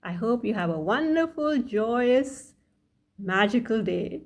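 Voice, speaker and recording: A woman's voice: fairly high pitch, lively intonation, slow pace, dull audio. Woman doing a kids story read-aloud, takes her time, kinda sing-song. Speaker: children's storyteller. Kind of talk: scripted story read aloud for young children, asking the listener questions.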